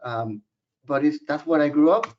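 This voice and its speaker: A man's voice: fairly high pitch, moderate pace. Puerto Rican-accented man presenting a webinar. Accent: Puerto Rican Spanish. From Puerto Rico. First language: Spanish. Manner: informal, joking, personal storytelling. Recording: muffled lo-fi webinar audio.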